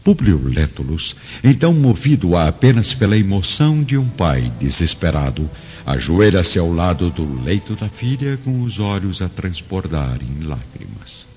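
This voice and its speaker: A man's voice: low voice, very animated, a little slow, darkish tone, slight background noise. A man narrating a radio drama from a script in a solemn, theatrical manner. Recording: reverberant, dull lo-fi audio over music.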